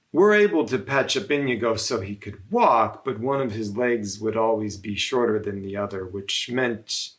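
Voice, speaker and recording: A man reading a story aloud to children as a religious brother, in measured phrases with frequent pauses. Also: on the low side, a bit bright.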